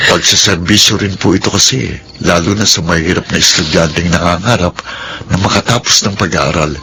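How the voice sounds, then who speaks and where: A man's voice: sits low, pushed and bright. A man playing an earnest, forgiving grown son in a Filipino radio drama, respectful, with a Filipino accent.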